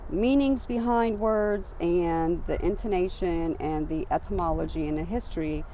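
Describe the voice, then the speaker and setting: A woman's voice: lowish voice, moderate pace, warm tone, poor audio. A woman in her fifties from Romania whose first language is Hungarian, talking earnestly and with animation in a street interview, sometimes repeating words for emphasis. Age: 50s. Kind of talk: street interview, casual talk. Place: outdoors on an urban trail. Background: faint traffic.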